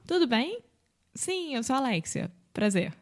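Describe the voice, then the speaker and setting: Rio woman acting out bright example greetings. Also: very animated, measured pace. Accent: Rio de Janeiro Portuguese. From Brazil. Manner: explains patiently, acts out example greetings with bright leaps in pitch. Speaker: podcast co-host teaching Portuguese. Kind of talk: casual teaching chat.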